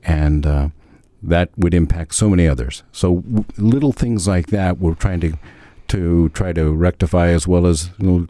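A male commissioner answering questions as a radio guest, speaking in a measured, halting way. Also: low-pitched, warm tone, dry recording, clean studio sound.

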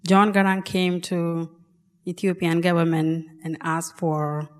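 Slow-spoken South Sudanese woman on stage. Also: lowish voice, a little mellow, big-room sound. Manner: slow, halting, with restarts. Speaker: storyteller. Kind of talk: live personal storytelling on stage. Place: large performing arts hall.

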